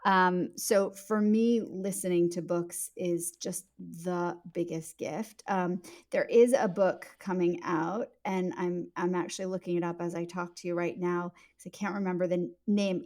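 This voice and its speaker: A woman's voice: fairly low pitch, fairly even intonation, unhurried. A woman speaking casually as an author being interviewed on a podcast. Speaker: author guest.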